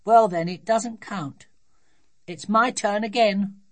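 A woman's voice: medium pitch, takes it slowly, voice pushed up. A woman voicing a bossy, triumphant little girl in a children's storybook, with emphatic, insistent delivery. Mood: bossy, pleased.

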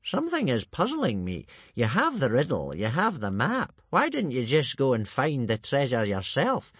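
A man voicing a thoughtful old male sage with a high, quavering voice. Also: huge pitch swings, moderate pace, a bit bright, breathy edge, no echo, full character voice. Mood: puzzled.

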